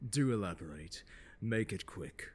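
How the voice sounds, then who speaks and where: A man acting as a haughty male genius scholar in an ASMR roleplay. His voice is fairly low and husky. He lectures his pupil with deliberate, articulate diction and open, mocking condescension. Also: lively intonation, a little mellow. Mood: haughty, grudging. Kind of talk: ASMR roleplay monologue, second-person lecture.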